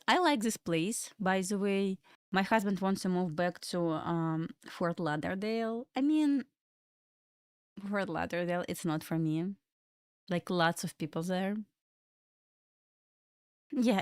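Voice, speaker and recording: A woman's voice: on the low side, unhurried, pauses often, smooth, no room sound. Woman with a Russian accent, dry sound. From Belarus. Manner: chatty, loose and rambling, answering chat questions between market comments. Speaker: livestream trading host. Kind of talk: casual live-stream chat with viewers. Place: home room in Florida.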